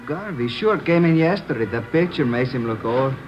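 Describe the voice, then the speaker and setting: An actor playing an obliging desk clerk at a cheap hotel in a 1940s radio crime drama. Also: male voice, medium pitch, expressive, moderate pace, close to an everyday voice. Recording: muffled old radio recording with music.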